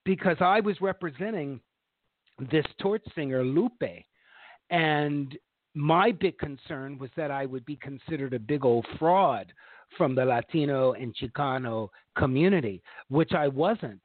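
A chatty man with a slightly high voice tells long, animated stories about his stage work. He sounds squeezed through a narrow, tinny phone line, bone dry with no room around him. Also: unhurried, no room sound. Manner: animated, long-winded storytelling. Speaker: talk show co-host and stage actor. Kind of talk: casual chat. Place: Los Angeles.

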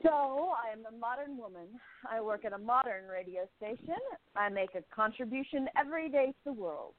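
Woman playing independent young reporter, earnest, slightly high, tinny sound. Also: lively intonation, a little fast, close to an everyday voice. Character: young modern female journalist, spirited and independent. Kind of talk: amateur radio play acting.

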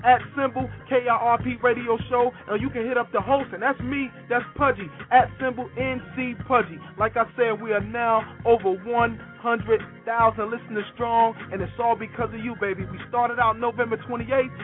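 High-voiced sing-song radio host. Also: male voice, steady tone, normal speed, few pauses. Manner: sing-song, upbeat promo delivery. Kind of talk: station promo read. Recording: tinny phone-like sound with faint music.

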